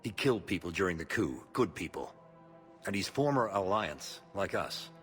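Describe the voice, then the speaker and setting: An actor playing a bitter, stubborn retired marine captain in a video game, with a terse, gruff delivery. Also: male voice, low-pitched, expressive, moderate pace, faint music behind. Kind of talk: video game cutscene dialogue.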